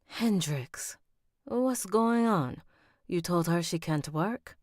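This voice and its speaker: A woman's voice: on the low side, lively intonation, slow pace, darkish tone, dry recording, studio quality, voice pushed up. Woman doing a shocked mother with an Italian accent. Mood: alarmed. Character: older mother, shocked and alarmed.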